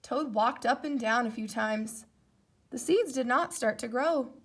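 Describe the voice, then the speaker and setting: A woman reading a children's story aloud in an expressive narrating style. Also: on the high side, a little slow. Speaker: storybook reader.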